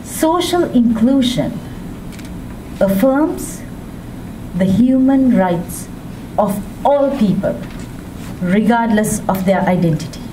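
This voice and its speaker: A woman from Sri Lanka giving a public speech as a women's rights advocate, with a measured, deliberate delivery and emphatic rises. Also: mid-range voice. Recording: distant mic with background noise.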